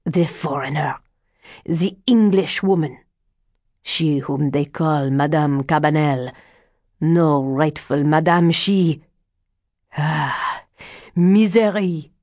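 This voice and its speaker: A woman plays a withered old fortune-teller man from a gothic storybook. He is sly and superstitious, speaking low and very slowly. His foreboding words break into exclamations and muttered asides. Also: darkish tone, no echo, studio quality. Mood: anguished, accusing. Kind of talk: audiobook character dialogue.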